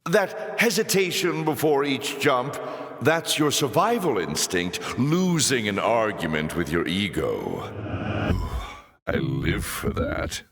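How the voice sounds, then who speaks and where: Sardonic demon overlord, game villain, slow deliberate pace, wildly expressive pitch, pompous, dry sarcasm, echoing hall. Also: male voice, exaggerated voice. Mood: mocking relish. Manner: pompous, dry sarcasm with drawn-out theatrical delivery. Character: sardonic, theatrical demon lord taunting champions in his arenas. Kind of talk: scripted video game character voice lines. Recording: echoey hall with long reverb.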